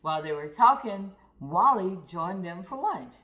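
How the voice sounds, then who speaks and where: Female read-aloud narrator for young kids, slow and deliberate with animated melody, addressing the children directly. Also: lowish voice. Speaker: storyteller reading aloud to children. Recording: roomy, echoey sound.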